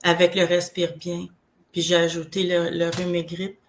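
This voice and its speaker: A woman with a Québécois accent and a head cold, chatting casually as a guest and telling her own health story in a rambling, halting way. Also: fairly low pitch, monotone, slight background noise. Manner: rambling, halting, full of restarts. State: has a cold, congested. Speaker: guest sharing product testimonial. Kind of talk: casual live chat.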